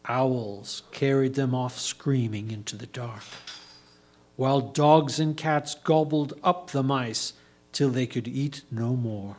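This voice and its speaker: Male storyteller for reader's theatre: very measured, dramatic phrasing, mid-pitched. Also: a little mellow.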